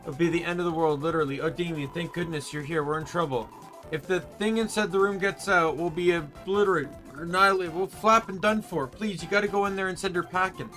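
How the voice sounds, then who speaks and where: A man's voice: sits high, fairly quick, a bit bright, soft background music. A man reading the part of an anxious, chatty game creature in a flustered, colloquial, dramatic way. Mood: panicked.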